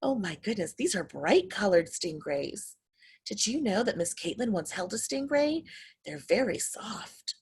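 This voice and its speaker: A woman reading a picture book to young children, expressive and exclamatory, addressing her listeners directly. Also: medium pitch, a little slow, slightly rough. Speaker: children's story reader.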